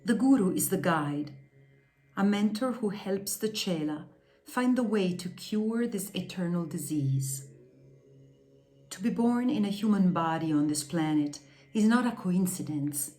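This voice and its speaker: A woman reading an instructional spiritual text aloud in a measured, deliberate way, carefully enunciating its terms. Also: lowish voice, expressive, a little mellow. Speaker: reader of a yoga philosophy text.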